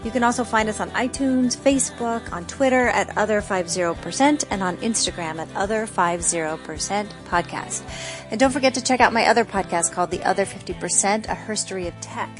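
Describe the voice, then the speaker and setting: Female podcast host, scripted intro delivery with clear music behind her. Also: mid-range voice, brisk.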